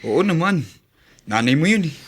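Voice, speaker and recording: An actor playing a supportive young buddy in a radio drama, casual and familiar. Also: male voice, on the high side, sing-song, normal speed, husky. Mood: admiring, supportive. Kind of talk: Filipino radio drama acting.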